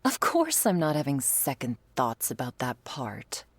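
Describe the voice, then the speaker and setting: A woman playing a stern but devoted vampire lover in an ASMR roleplay, speaking in a formal, measured, affectionate way. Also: very deep voice, huge pitch swings, breathy edge, dry recording, pitched below the performer's own voice, exaggerated voice. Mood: earnest, devoted.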